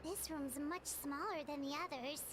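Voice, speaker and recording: A woman's voice: sits high, normal speed, noisy background, close to an everyday voice. A woman voicing a small, curious, inquisitive female sidekick in a video game. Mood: curious, puzzled.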